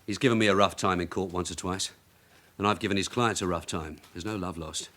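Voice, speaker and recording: An actor playing a shrewd, dogged, middle-aged detective sergeant in a radio crime drama, blunt and wry. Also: male voice, low-pitched, fairly even intonation, a little fast, fairly bright tone. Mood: guarded.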